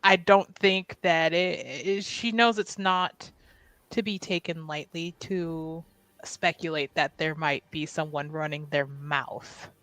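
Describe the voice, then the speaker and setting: Casting: female tabletop player, slightly low voice, slow casual narration of her character's actions. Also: fairly even intonation, a bit bright, no room sound, rough recording.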